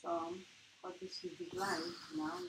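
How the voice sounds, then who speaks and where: Lowish-voiced woman, rambling casually. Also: little pitch movement, noisy background. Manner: rambling, halting, repeats phrases. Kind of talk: casual chat.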